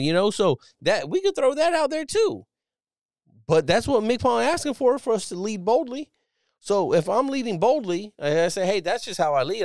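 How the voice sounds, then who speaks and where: A man in his thirties hosting a podcast, talking casually as a Navy chief, loud and profane with a strong voice. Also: medium pitch, no room sound, studio quality. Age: millennial, 30s. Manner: animated, profane, loud and emphatic. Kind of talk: casual solo podcast commentary.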